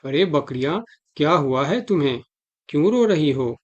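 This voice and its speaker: A man's voice: a little high, lively intonation, normal speed, highs cut off. A man voicing a dutiful, suspicious elephant guard in a Hindi children's cartoon, with a stern, questioning manner. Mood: concerned.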